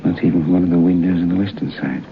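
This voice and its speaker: Deep-voiced, brooding romantic writer. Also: male voice, steady tone, vocal fry. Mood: brooding musing. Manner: musing aloud, halting, talking to himself. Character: restless English writer on holiday, romantic, brooding. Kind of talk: old-time radio drama acting. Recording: muffled noisy old radio broadcast.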